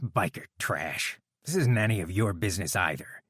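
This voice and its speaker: A man's voice: deep voice, lively intonation, normal speed, a bit bright, slightly rough, no echo, studio quality, heavily acted. A man voicing a hostile railroad security guard who snarls his threats. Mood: menacing.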